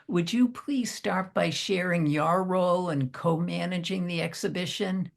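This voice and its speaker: A woman's voice: fairly low pitch, measured pace, vocal fry. A woman hosting an interview, asking questions and giving brief affirmations. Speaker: host. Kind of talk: interview host.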